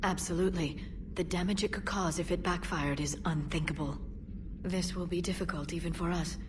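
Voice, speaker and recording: A woman playing a composed, earnest alien scientist and information broker in a video game, speaking in a measured, serious way. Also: fairly low pitch, fairly even intonation, distant mic, noisy background, natural voice. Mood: grave.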